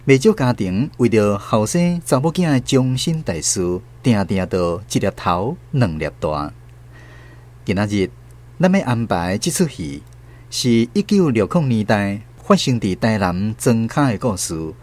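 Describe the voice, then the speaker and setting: A man's voice: fairly low pitch, very animated, a little slow, darkish tone. A man with a Taiwanese accent hosting and narrating a scripted radio drama.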